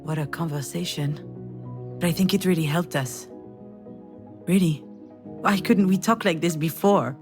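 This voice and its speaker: A woman's voice: on the low side, measured pace, long pauses. A haunted, grieving mother over music. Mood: reflective, wistful. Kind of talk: dramatic video game voice acting.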